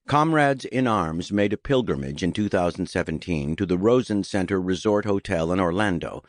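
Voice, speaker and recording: Male nonfiction narrator, low register, measured and deliberate, recorded close and dry. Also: no echo. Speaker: audiobook narrator. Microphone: close studio microphone.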